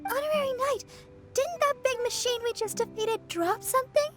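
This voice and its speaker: A woman's voice: very high voice, moderate pace, over music. A woman voicing a cheerful, boisterous little girl in a video game, with eager, childlike, simple delivery. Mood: earnest, curious. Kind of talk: animated game character acting.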